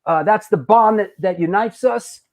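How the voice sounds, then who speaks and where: A high-pitched man hosts a live online show. He talks loosely and jokes around, stumbling over and repeating his words. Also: moderate pace, darkish tone. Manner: loose, joking, repeats himself. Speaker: host.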